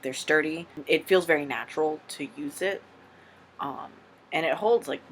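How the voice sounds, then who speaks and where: A man's voice: fairly high pitch, fairly bright tone, slight background noise. A young man casually answering viewer questions on his vlog, frank and rambling, swearing freely. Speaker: vlogger.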